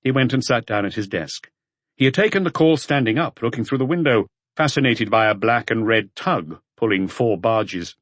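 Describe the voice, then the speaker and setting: A man's voice: medium pitch, lively intonation, moderate pace, fairly bright tone, no echo. A man reading scripted crime fiction as an audiobook narrator.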